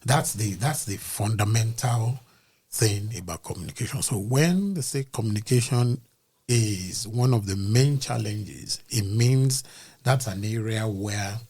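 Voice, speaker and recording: Man, podcast guest, low voice, husky, deliberate explaining. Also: a little mellow. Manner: deliberate, explains with comparisons, some restarts. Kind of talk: explaining ideas in conversation.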